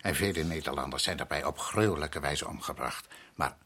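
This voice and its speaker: An actor playing a proud island head priest in a radio drama, courteous and measured yet stubborn, with a husky voice. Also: male voice, a bass voice, pushed and bright, heavily acted. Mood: grave, warning. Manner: measured, courteous, evasive, insistent.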